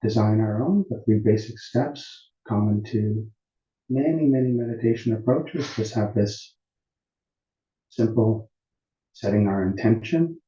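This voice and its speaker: A man with a low, very mellow and dark voice gives meditation instruction. He speaks calmly and deliberately, leaving long pauses. The sound is muffled, with a clear roomy echo. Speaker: meditation teacher. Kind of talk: guided meditation instruction at a retreat.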